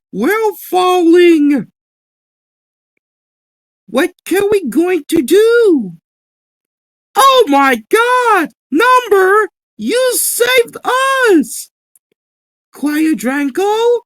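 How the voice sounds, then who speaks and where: A woman plays an excitable cartoon flamingo, very high and swooping. The bird is easily alarmed and shrieks in exclamations, in an amateur animation style. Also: no room sound, studio quality, full character voice. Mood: agitated.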